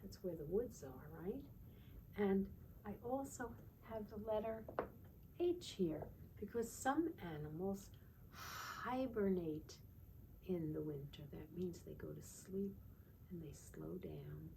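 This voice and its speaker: Woman, children's storytime teacher. Soft-edged mellow tone, sing-song read-aloud with little questions to the listeners, slight background noise. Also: fairly low pitch, lively intonation, slow, creaky, rough recording.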